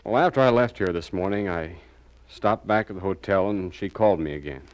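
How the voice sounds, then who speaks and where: Man acting as a low-voiced, anxious murder suspect. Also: brisk, highs cut off, lightly acted. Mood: nervous. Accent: American. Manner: hesitant, earnest. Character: anxious, naive murder suspect pleading his innocence. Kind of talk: 1940s radio crime drama acting.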